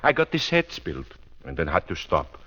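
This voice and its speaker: An actor playing a harried, businesslike movie producer in a radio drama, speaking earnestly and emphatically. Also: male voice, sits low, huge pitch swings, normal speed, a bit bright, exaggerated voice. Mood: earnest, worried. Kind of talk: 1940s radio drama acting. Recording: dull old radio recording.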